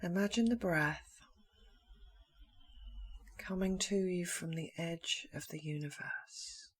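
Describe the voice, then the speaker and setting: A woman leading a guided meditation in a soft, breathy voice, speaking calmly in short, slow phrases with long pauses. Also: lowish voice. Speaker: meditation teacher.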